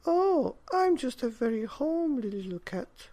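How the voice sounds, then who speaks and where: A small, timid kitten from a storybook, played by a man, talks in a high voice. It is meek and modest, with a lilting rise and fall. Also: sing-song, measured pace, dark tone, heavily acted.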